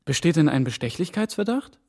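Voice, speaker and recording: A man's voice: fairly high pitch, big pitch swings, fairly quick, darkish tone. An earnest young male trainee. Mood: earnest, deferential. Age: young adult. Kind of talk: German radio drama acting.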